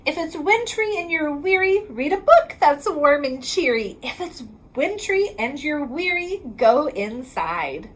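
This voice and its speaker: A woman with a very high voice reads a children's picture book aloud. She goes slowly and in a sing-song rhythm, stretching the ends of lines, and her pitch moves up and down in a lively way. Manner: sing-song rhyming delivery, drawn-out line endings. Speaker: reading specialist reading aloud to children.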